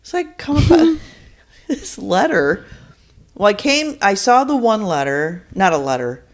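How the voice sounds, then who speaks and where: A woman's voice: medium pitch, very animated, measured pace. A middle-aged woman, a guest on a podcast, telling a rambling casual anecdote and voicing her own thoughts as quotes. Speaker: podcast guest, the hosts' mother.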